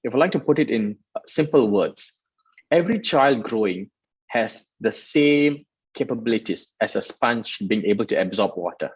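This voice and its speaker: A man's voice: mid-range voice, animated, normal speed, breathy edge, no room sound, muffled recording. A man from Malaysia, a guest in an interview, explaining his work at length in an earnest way with many restarts. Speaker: guest, startup festival director. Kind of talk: interview answers.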